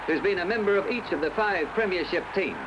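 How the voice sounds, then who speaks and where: High-pitched Australian newsreel man, noisy old soundtrack. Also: brisk, lo-fi sound. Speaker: newsreel commentator. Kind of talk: scripted 1970s sports newsreel narration.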